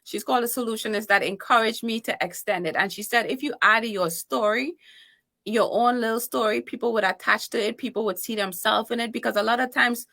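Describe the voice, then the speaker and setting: A woman from Trinidad and Tobago, an author guesting on a podcast, talking in a lively, laughing, emphatic way. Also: fairly high pitch, fairly quick, creaky. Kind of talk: casual interview chat.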